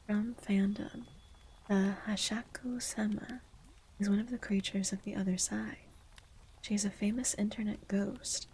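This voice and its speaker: A woman in a low, feminine register, speaking almost entirely in soft unvoiced whispers. Her tone is mellow and her pitch stays very level. She chats and reads aloud in a steady, sleepy ASMR style. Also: measured pace, slight background noise, low-quality recording. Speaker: streamer. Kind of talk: whispered ASMR chat and legend reading.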